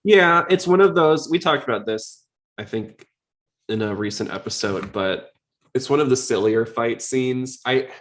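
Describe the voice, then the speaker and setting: A man's voice: mid-range voice, unhurried, fairly bright tone, slight background noise. A man hosting a podcast in casual chat, rambling along in a chatty, jokey way. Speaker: podcast host.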